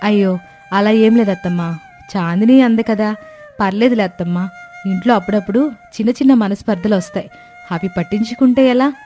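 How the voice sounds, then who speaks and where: A woman voicing a gentle, earnest young village daughter-in-law in a cartoon moral story. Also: medium pitch, brisk, soft background music, lightly acted. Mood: reassuring.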